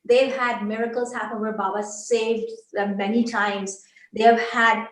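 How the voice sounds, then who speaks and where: A woman's voice: on the high side, little pitch movement, unhurried, reverberant, low-quality recording. A woman from Barbados, an older interview guest, earnestly recounting a personal spiritual journey with frequent restarts. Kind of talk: personal testimony in conversation.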